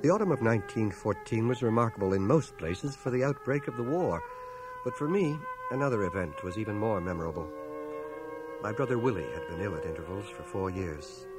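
Actor as a lively, low-voiced reflective storyteller. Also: male voice, lightly acted. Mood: reflective, tense. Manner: measured, storytelling, expressive. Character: reflective man recalling his small-town boyhood. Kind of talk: dramatic first-person radio narration. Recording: home cassette transfer, music underneath.

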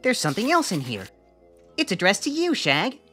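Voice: medium pitch, huge pitch swings, normal speed, bright, forceful tone, breathy edge, faint music behind, heavily acted. A performer voicing a small, eager, cheeky puppy in a cartoon, plucky and a bit bossy. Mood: chirpy. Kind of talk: cartoon voice acting.

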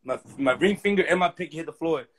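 A man's voice: fairly high pitch, fast, a little hiss or hum. A man in his twenties chatting as a guest on a phone livestream, slangy and insistent, stumbling over repeated restarts. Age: 20s. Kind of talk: casual chat. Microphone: phone front camera.

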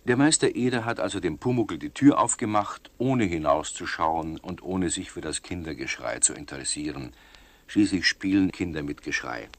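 Deep, animated male storyteller. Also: normal speed, no room sound. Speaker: narrator. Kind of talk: scripted children's story narration.

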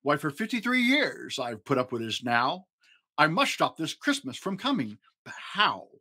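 A man's voice: a little high, very animated, normal speed, sharp tone, exaggerated voice. A man voicing a sour, scheming old storybook creature with a snarling, sneering manner. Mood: resentful, sneering. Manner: snarling, growling, hissing sneer.

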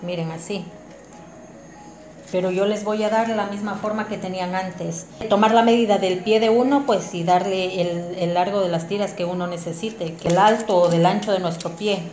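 A woman presents an informal craft tutorial, talking straight to viewers as she explains the steps. Her voice is mid-pitched and echoes in a domestic room, with music playing behind her. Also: steady tone, normal speed, a little mellow. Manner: explanatory, addressing viewers directly. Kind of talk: informal hands-on tutorial narration. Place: small domestic room indoors. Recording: roomy echo, music behind.

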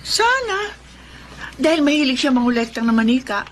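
A woman's voice: sits high, very animated, moderate pace, a bit bright, a little hiss or hum. An actress playing an eager, polite mother in a radio drama, speaking expressively. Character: eager, polite mother shopping for her child.

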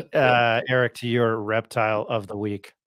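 A man's voice: medium pitch, level tone, takes it slowly, a bit bright, smooth, no room sound. Irreverent middle-aged male podcast host. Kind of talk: casual sports podcast banter. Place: home studio, indoors. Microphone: close podcast mic on boom arm.

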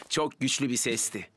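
A man playing a reassuring young construction worker in a children's cartoon, calm and encouraging. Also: medium pitch, heavy background noise. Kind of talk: animated children's cartoon dubbing.

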